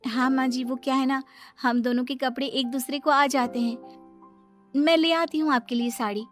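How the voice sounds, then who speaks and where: A woman's voice: sits high, steady tone, normal speed, soft background music, lightly acted. A woman voicing an innocent, polite young daughter-in-law in a story, speaking matter-of-factly. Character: meek, guileless young daughter-in-law who always blurts the truth.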